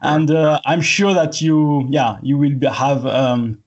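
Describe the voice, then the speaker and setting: A man whose first language is French, interviewing someone in friendly but halting English. His voice sits fairly high. The recording sounds dull and lo-fi. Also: darkish tone. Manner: friendly, halting non-native phrasing. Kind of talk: casual interview conversation. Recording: dull lo-fi audio.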